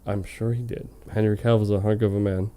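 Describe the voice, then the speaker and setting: A man's voice: lowish voice, level tone, brisk, dark tone. A man reading fan fiction on a comedy show in a hammy, mock-dramatic way, with casual, amused asides. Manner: hammy, amused, breaking off to comment.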